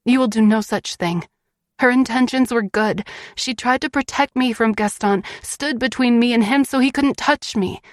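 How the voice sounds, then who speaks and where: A woman's voice: fairly high pitch, normal speed, dry recording, clean studio sound, voice pushed up, close to an everyday voice. A woman voicing a defiant, devoted wife with a direct, open manner in an audiobook. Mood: defiant, pleading. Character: defiant, devoted young wife of a dangerous man.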